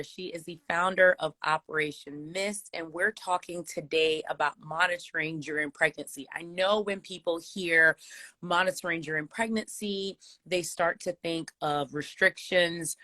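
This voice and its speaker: A hoarse woman hosting a live video chat, welcoming and effusive. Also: on the low side, unhurried, dry recording. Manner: warm, effusive. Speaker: host. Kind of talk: casual live stream chat. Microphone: video call.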